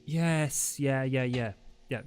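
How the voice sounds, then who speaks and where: A man's voice: medium pitch, slow, a little mellow, soft background music. A man playing a tabletop roleplaying game, speaking in character in a sheepish, casual way. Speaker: player.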